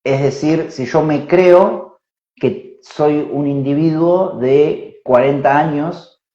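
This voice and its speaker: A man in his forties, a spiritual teacher, explains ideas slowly and reflectively, thinking aloud with fillers and tag questions. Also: fairly high pitch, mellow. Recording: roomy, dull lo-fi sound.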